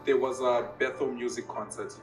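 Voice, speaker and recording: A man's voice: medium pitch, level tone, moderate pace. A young man from Zimbabwe and South Africa telling his story in a recorded interview, laughing easily. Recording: roomy, from a distance, music underneath.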